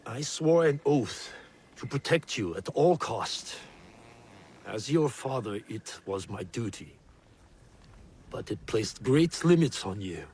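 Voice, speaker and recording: Slow, solemn shinobi father. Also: mid-range voice, expressive, a little mellow, breathy edge. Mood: tender, grave. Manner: measured, reflective. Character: solemn, tender shinobi father and clan elder. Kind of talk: dramatic video game acting.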